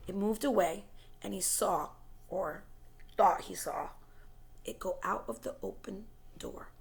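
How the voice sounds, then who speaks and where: A woman reading a story aloud in a dramatic, suspenseful way, moving between hushed whispers and forceful, louder phrases. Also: mid-range voice, measured pace, creaky. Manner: suspenseful and expressive, dropping to whispers and rising to loud, forceful phrases. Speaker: teacher reading a book chapter aloud.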